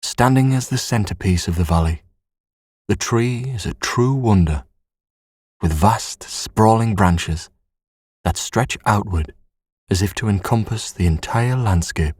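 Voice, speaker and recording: Very slow, low-voiced man telling bedtime stories. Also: husky, no room sound, clean studio sound. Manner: soothing, measured, hushed bedtime delivery. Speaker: bedtime story narrator. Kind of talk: guided sleep story, scripted narration.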